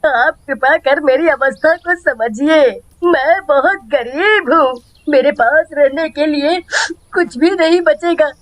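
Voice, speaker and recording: A woman's voice: high voice, slow, no room sound. A woman voicing a poor, humble father who begs tearfully in a children's moral story cartoon. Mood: desperate. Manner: wailing, begging delivery.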